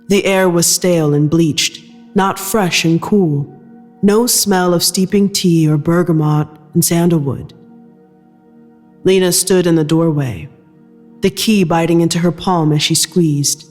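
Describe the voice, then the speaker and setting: A narrator reading scripted audio fiction in a measured storytelling style. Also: medium pitch, a little mellow, long reverb tail.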